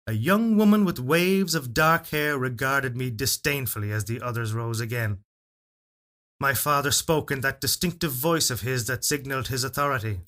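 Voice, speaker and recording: A man reading a literary fairytale as narrator, in a solemn, measured and expressive storytelling delivery. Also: medium pitch. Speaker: story reader. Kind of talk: dramatic literary narration of a first-person fairytale.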